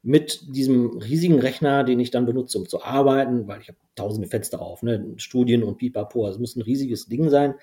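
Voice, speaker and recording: A man's voice: medium pitch, a little fast, hardly any pauses, mellow. A man whose first language is Dutch, a podcast guest talking casually and rambling with many fillers. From Belgium. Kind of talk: casual conversation.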